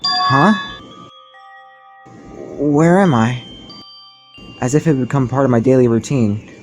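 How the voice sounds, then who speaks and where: A man's voice: mid-range voice, measured pace, lightly acted. Earnest, puzzled teenage boy narrator played by a man. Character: earnest, bewildered ordinary teenage boy narrating his ordeal. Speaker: protagonist and narrator. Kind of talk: scripted anime game fandub narration. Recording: music behind the voice.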